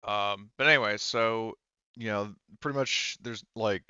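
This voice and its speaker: A man casually running a tabletop roleplaying game as game master, halting and thinking aloud as he goes. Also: on the low side, pushed and bright, clean tone, no echo, dull audio.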